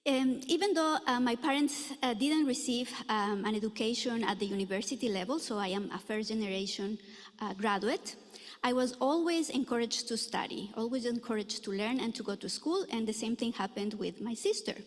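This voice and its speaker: A middle-aged woman from Ecuador gives an earnest conference lecture, pausing often on fillers as she thinks. Her voice sits a little high, and the recording carries a long hall reverb. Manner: earnest, many filler pauses. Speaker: anthropology professor giving a talk. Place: lecture room.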